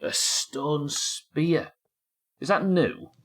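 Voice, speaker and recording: A man's voice: on the high side, lively intonation, extremely slow, a bit bright. A man with a head cold, sniffly as he casually narrates his live gameplay, thinking aloud and chatting to viewers and his in-game pets. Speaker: livestreaming gamer.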